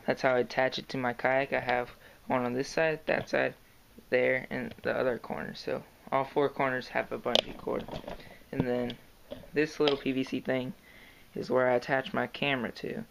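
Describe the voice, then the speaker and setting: Soft-spoken young man with a gravelly voice. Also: mid-range voice, level tone, moderate pace. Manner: soft-spoken, hesitant, lots of fillers. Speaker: kayak angler showing his gear. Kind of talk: casual show-and-tell vlog. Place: indoors, carpeted room at home. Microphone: handheld camera close to the gear. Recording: noisy home camera recording.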